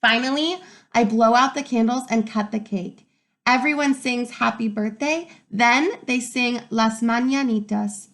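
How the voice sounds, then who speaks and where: A woman reading a picture book aloud to young children like a teacher, expressive and pausing to ask wondering questions. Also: on the high side. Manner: expressive, pauses to pose questions to young listeners.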